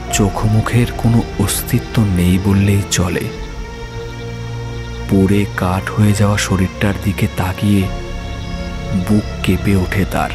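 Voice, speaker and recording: A man narrating a scripted horror story in a dramatic storytelling style. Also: low-pitched.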